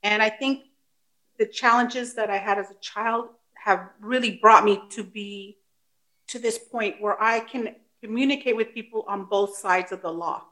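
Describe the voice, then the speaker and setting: A woman's voice: medium pitch, unhurried, rough recording. A woman in her fifties, a native Spanish speaker raised in the United States, talking effusively and with open emotion as a podcast guest. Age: 50s. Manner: effusive, openly emotional, animated. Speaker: podcast guest, retired police officer. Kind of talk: casual interview chat.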